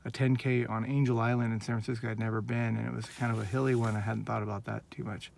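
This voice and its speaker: Gravelly earnest man in his forties. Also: on the low side, little pitch movement, fast, darkish tone, a little hiss or hum. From Northern California. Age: 40s. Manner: earnest, rambling, heartfelt. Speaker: vlogger. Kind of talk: casual personal vlog.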